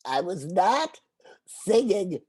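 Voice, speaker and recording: A woman's voice: on the low side, sing-song, slow pace, darkish tone, slightly rough, exaggerated voice. A woman voicing a small, wily male river turtle in a folktale, in an emphatic, theatrical manner. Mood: defiant.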